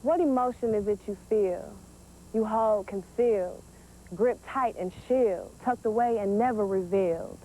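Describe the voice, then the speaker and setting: A woman's voice: medium pitch, a little slow, warm tone, slight background noise, rough recording. An eighteen-year-old American woman, a poet introducing herself and reciting spoken-word verse. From Wisconsin, United States. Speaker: teen poet.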